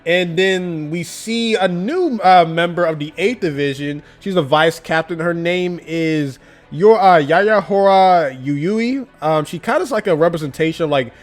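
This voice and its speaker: A man's voice: a little high, measured pace. A man giving a casual, chatty manga review, with lots of fillers and frequent laughs. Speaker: anime and manga YouTuber.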